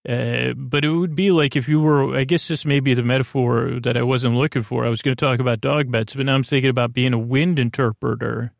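A man hosting a sleep podcast in a creaky voice, lulling and soothing, meandering off into tangents. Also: mid-range voice, steady tone, brisk, hardly any pauses, dry recording, clean studio sound. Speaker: podcast host. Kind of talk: rambling bedtime sleep-podcast monologue. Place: small closet.

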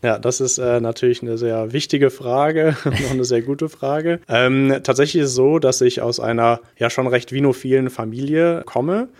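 A young man from England answers a podcast host's questions at a mid pitch. He speaks earnestly but haltingly, often pausing with fillers as he searches for words. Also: darkish tone. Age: young adult. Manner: hesitant, many fillers, earnest. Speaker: guest, wine investment manager. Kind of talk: interview answers.

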